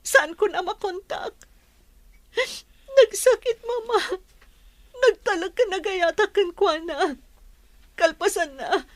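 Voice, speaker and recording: A woman's voice: very high-pitched, slow pace, long pauses, no echo. A woman playing a sensitive young woman in a Filipino audio drama. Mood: tearful, hurt. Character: sensitive young woman, loyal friend, easily hurt in love.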